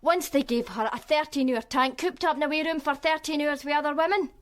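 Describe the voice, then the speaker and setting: An actress plays a guarded, streetwise Glasgow woman with a broad Glaswegian accent in a radio play. Her voice sits a little high, and she answers questions in a dry, sardonic, plainspoken way that gives little away. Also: fairly even intonation, a little fast, natural voice. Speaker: witness, flatmate of the victim. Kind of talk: radio drama acting.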